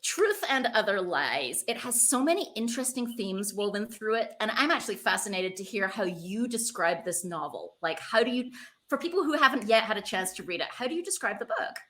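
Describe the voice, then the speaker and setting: A woman interviewer with a bright, forward voice at an ordinary female pitch. She talks conversationally as the host of a book chat, effusive and full of enthusiasm. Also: big pitch swings, a little fast. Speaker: podcast host interviewing an author.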